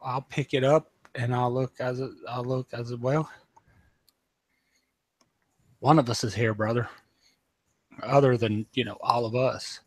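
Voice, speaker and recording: A man's voice: mid-range voice, fairly even intonation, moderate pace, dry recording. A man with a mid-range voice speaks casually, checking details and asking questions. The recording is close and dry. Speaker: player. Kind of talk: tabletop game play.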